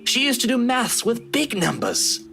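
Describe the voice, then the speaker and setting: A woman's voice: a little high, very animated, moderate pace, gravelly, music in the background. A woman voicing a smug yet tender man in a visual novel game, drawling with doting endearments. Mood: tender, earnest. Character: obnoxious but tender, smug adult man.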